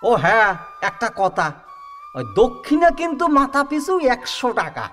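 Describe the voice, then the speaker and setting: A man's voice: very high-pitched, sing-song, measured pace, a bit bright, faint music behind, full character voice. A man playing a chatty, pestering village friend in a rural audio drama, exclaiming in a North Bengal Rajbanshi accent. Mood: eager, coaxing. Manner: exclaiming, calling out.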